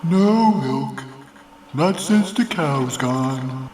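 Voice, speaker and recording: A man voicing a drowsy, hard-of-hearing old moon who mishears and drawls slowly in a storybook reading. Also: mid-range voice, expressive, darkish tone, roomy sound, slight background noise, voice pushed up, low-quality recording. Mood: drowsy explaining.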